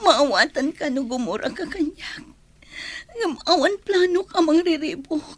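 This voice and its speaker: Young Filipina radio-drama heroine: very high voice, slow delivery, tearful and apologetic. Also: female voice, animated. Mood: pleading, tearful. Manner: pleading, emotional. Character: tearful, apologetic young woman pleading with her friend.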